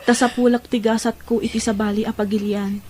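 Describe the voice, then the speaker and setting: A woman's voice: fairly high pitch, flat delivery, fairly quick, darkish tone, slight background noise, close to an everyday voice. A woman playing a loving, earnest young daughter in an emotional audio drama, speaking with a Filipino accent. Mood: pleading.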